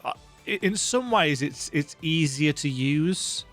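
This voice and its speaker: A man's voice: on the high side, expressive, slow, fairly bright tone, soft background music. A man hosting a let's play, giving casual, rambling, conversational commentary on a video game.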